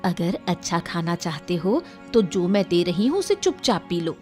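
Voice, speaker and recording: A woman's voice: fairly low pitch, sing-song, normal speed, mellow, faint music behind. A woman voicing a firm, caring wife who nags her husband in a cartoon story, insistent and scolding.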